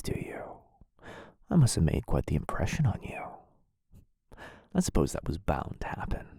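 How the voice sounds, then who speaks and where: Guy playing a deep voiced assassin boyfriend, menacing but smitten, teasing asmr roleplay, super dry close sound. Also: gravelly, warm tone, husky, dry recording, heavily acted. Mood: hushed menace. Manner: intimate, teasing, formal old-fashioned phrasing. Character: smooth, menacing yet lovestruck male assassin. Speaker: voice actor.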